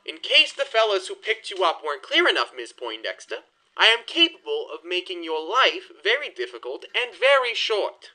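An actor playing a cool, menacing 1920s gangster who threatens in a low, measured way, in a horror audio drama. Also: male voice, huge pitch swings, bright, forceful tone, heavily acted.

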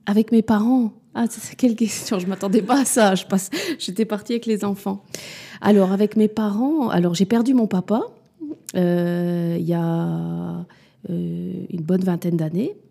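A woman around forty speaks candidly as an interview guest, pausing often and restarting her thoughts. Her voice is very warm and mellow, sitting at a middle pitch. She sounds reflective rather than performed. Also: steady tone, creaky, husky. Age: 40s. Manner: hesitant and reflective, many fillers and restarts. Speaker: podcast guest, mother and business owner.

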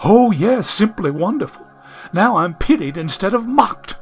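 A man's voice: high-pitched, animated, unhurried, soft background music. A man reading an audiobook, voicing a genteel young woman from the 1870s who is anxious, prim and lost in the modern world. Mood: distressed. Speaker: audiobook narrator.

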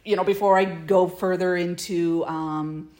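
A woman's voice: on the low side, fairly even intonation, unhurried, darkish tone. A woman co-hosting a casual fan podcast, talking with gushing enthusiasm. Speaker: podcast co-host.

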